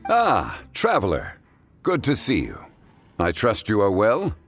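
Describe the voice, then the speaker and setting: An actor playing a calm, erudite, old-fashioned gentleman in a video game, speaking in a formal, deliberate way. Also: lowish voice, full character voice. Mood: courteous, composed. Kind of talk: scripted video game voice acting. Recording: game audio with music behind.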